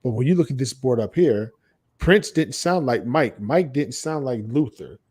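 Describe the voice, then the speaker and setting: An American man co-hosts a casual podcast in a dark, relaxed voice at an average male pitch. He gives his opinions bluntly and repeats phrases to drive them home. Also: moderate pace, slightly rough. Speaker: podcast co-host.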